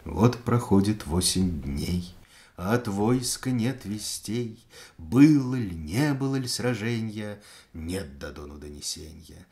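A man narrating a verse fairy tale in a measured, rhythmic, expressive way. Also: fairly low pitch. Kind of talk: storybook verse reading.

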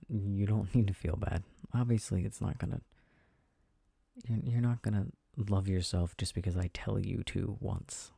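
A man performs an affectionate, gently teasing boyfriend for an ASMR roleplay. His voice is very dark and relaxed, with a gravelly creak and a husky softness. He talks intimately and haltingly, as if reassuring someone he adores. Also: sits low, no echo, studio quality, exaggerated voice. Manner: soft, intimate, halting, chuckling delivery.

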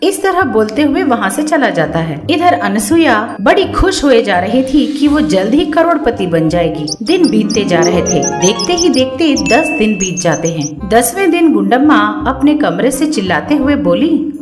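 A woman's voice: a little high, sing-song, measured pace. A woman reading scripted narration for a story. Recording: roomy sound with music behind.

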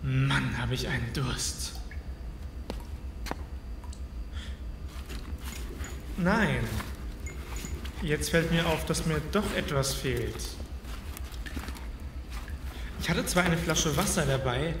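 An actor playing an anxious, sarcastic, halting man trapped in a cellar, in a horror audio drama. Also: fairly high pitch, animated. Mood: uneasy, weary. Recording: echoey hall reverb, faint background noise.

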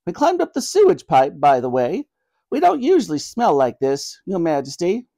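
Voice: mid-range voice, wildly swooping pitch, moderate pace, mellow, voice pushed up. A reader voicing a kindly, apologetic elderly grandmother who speaks earnestly and politely in a children's story. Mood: sheepish, confessing.

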